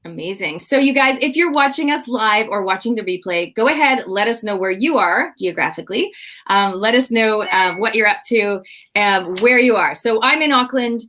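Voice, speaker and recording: A woman's voice: medium pitch, animated, normal speed, bright, forceful tone. A woman with a Canadian accent hosting a livestream panel over a video call, introducing guests with enthusiasm. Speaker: livestream host.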